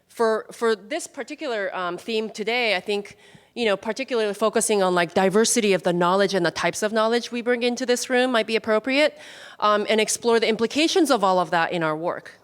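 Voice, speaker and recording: A woman's voice: mid-range voice, fairly bright tone, clean tone. A woman casually leading a group icebreaker in a large hall, chatty with many fillers. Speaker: workshop facilitator. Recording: hall reverb from a room mic.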